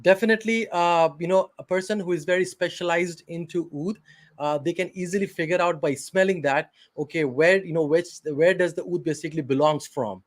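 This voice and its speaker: A man's voice: a little high, fairly quick. A man from Pakistan, a company CEO, answering interview questions hesitantly over a video call, with many fillers and restarts.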